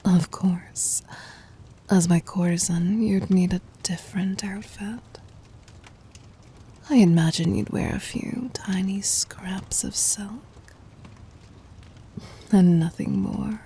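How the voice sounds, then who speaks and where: Woman acting a slow, whispering, doting demon queen. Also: mid-range voice, fairly even intonation, warm tone, heavily acted. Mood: playful, possessive. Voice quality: breathy whisper. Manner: slow, intimate, commanding. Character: gentle dominant demon queen, doting and teasing lover. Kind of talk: ASMR roleplay.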